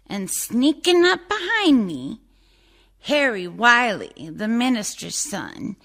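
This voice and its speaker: A woman playing a dead immigrant, bitterly wronged and mournful, in a measured, plaintive radio-play monologue. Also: a little high, fairly bright tone, full character voice.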